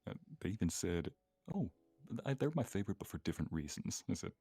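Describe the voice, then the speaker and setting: A man with a low, heavily raspy and gravelly voice, chatting casually and playfully. His delivery is animated, full of banter, and recorded close and dry. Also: fast, dry recording, faint music behind. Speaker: guest voice creator. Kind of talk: casual chat.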